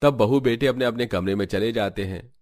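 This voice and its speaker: A man's voice: medium pitch, a little fast, a little mellow. A man reading scripted narration as the storyteller of a family tale.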